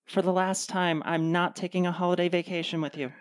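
Jaded girlfriend, actress, deadpan and cutting, recorded close and dry, comic radio play. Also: lowish voice, fairly quick, no echo, close to an everyday voice. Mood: bored. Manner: deadpan, sarcastic. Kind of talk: comedic radio play acting.

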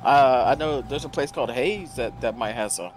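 A man's voice: medium pitch, moderate pace. A man improvising as a law officer in an online game, chatting in a joking, folksy way. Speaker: roleplayer playing a law officer. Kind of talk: improvised game roleplay, casual chat. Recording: faintly noisy game voice chat.

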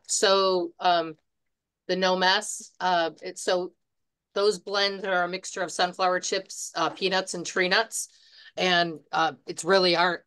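Middle-aged woman, chatty store owner, lowish voice, practical, on a video call from home. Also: a bit bright. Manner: chatty, practical, many fillers. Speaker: bird supply store owner. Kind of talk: casual panel chat. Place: home room.

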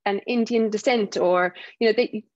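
A woman from South Africa with a softened South African accent, a guest telling stories in a casual interview and acting out what other people say. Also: medium pitch, normal speed, highs cut off. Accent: South African, softened by years in the UK. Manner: animated storytelling, acts out other people's remarks. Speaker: guest speaker.

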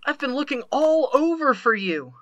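A woman's voice: high-pitched, big pitch swings, normal speed. A woman voicing an earnest, defensive young pony magician sibling in a cartoon comic dub. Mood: earnest, hesitant.

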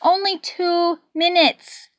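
Exasperated penguin maestro played by a woman reader, very high, emphatic, demanding, storybook style. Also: level tone, fairly bright tone, exaggerated voice. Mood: exasperated, urgent. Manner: emphatic, exclaiming, drawn-out stresses. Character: exasperated, demanding penguin orchestra conductor, male.

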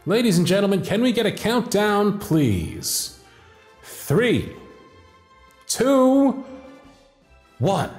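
Man as a flamboyant stage magician, lively and theatrical. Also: high-pitched, roomy sound, full character voice. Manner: grand, theatrical showman patter. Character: flamboyant, confident male stage magician, playful charmer. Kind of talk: scripted ASMR audio roleplay.

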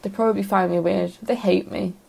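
A woman's voice: mid-range voice, steady tone, a little fast, gravelly, a little mellow, rough recording. A woman reading scripted first-person story narration.

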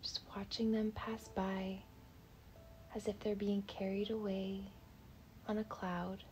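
A woman leading a guided meditation in a soft, breathy, half-whispered voice, gentle, soothing and unhurried. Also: medium pitch, level tone, a little hiss or hum, rough recording.

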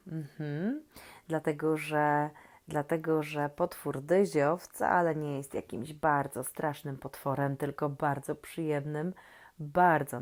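Slow, low-voiced woman storyteller for children. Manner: gentle, playful, questioning, with expressive pauses. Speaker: children's story reader and host. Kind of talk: reading aloud to young children, talking to the listeners.

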